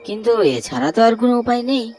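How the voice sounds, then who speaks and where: A man's voice: very high voice, big pitch swings, fast talker, a little mellow, soft background music. A man playing a meek, needy, bedridden village husband in a horror cartoon, pleading feebly in rustic speech with night insects chirping. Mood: helpless.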